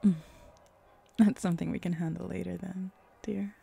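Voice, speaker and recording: A woman voicing a flirty, sly female hellhound in a roleplay ASMR audio drama, teasing and seductive with breathy, whispery turns. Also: steady tone, normal speed, mellow, full character voice. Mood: coaxing, flirty. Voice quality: low, husky, creaky.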